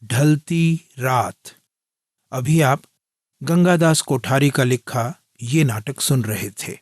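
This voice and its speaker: A man announcing on radio, reading a scripted closing in a formal, measured manner. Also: mid-range voice, no echo, faint music behind.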